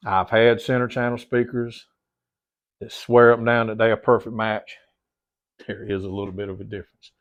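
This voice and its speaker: A man's voice: fairly low pitch, flat delivery, normal speed. A middle-aged man, a little stuffy-nosed, chatting casually to camera in his home theater room and rambling, repeating words and calling his viewers "guys". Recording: dull lo-fi sound.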